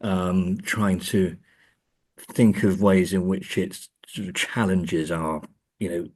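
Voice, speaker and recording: A man with a deep, rough-edged voice talks as an academic guest. His conversational delivery is halting, circling back over words as he works out each thought. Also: steady tone. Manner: hesitant, halting, repeats words while thinking. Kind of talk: conversational interview.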